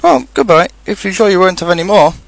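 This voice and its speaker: Brisk, prim rabbit for a storybook male reader, high and lively, matter-of-fact and stern. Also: creaky, no room sound. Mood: polite. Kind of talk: storybook character reading.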